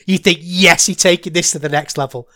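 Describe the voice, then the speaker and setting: A middle-aged man with a Manchester accent, hosting a casual solo podcast, rambling and getting loud when excited. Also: high voice, fairly quick. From England. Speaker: podcast host.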